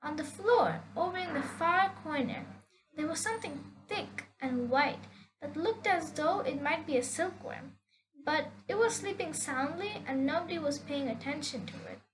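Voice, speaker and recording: A woman reading a children's story aloud with expressive, deliberate, theatrical phrasing. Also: high voice, noisy background.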